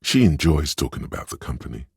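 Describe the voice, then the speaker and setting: An actor playing a smooth, sly inner voice of persuasion in a video game, narrating in a gravelly bass with a measured, insinuating delivery. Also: male voice, very animated, no echo, studio quality, full character voice. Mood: scheming, suggestive. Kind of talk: scripted second-person game narration.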